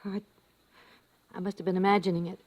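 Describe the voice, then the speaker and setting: A woman's voice: medium pitch, little pitch movement, a little fast, a little mellow, close to an everyday voice. An actress playing an anxious, hesitant American housewife and mother in an old-time radio drama. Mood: nervous, evasive. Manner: hesitant, stammering restarts. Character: anxious suburban housewife and mother hiding her fear. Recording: noisy vintage radio recording.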